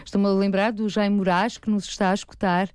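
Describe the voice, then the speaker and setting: Woman radio host, European Portuguese accent, brisk, firm, dry studio sound. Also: medium pitch, little pitch movement, darkish tone, clean tone, no room sound. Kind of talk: radio moderation.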